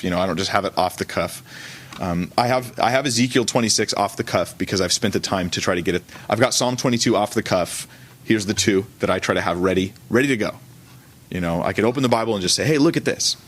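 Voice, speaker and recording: A man's voice: on the low side, a bit bright. A man who is a pastor, teaching an informal sermon in a conversational, colloquial manner, often correcting himself as he goes. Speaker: pastor teaching a church service.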